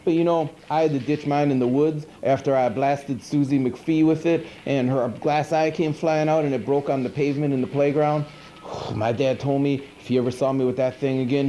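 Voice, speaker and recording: A goofy monster horror host played by a man chatters in a raised yet mellow, dark-toned voice. He rambles through comic movie recaps with slangy mock indignation. It sounds like an old 1980s TV tape with a light hiss. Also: fairly high pitch, lo-fi sound, natural voice. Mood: chatty, amused. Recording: noisy 1980s VHS TV recording.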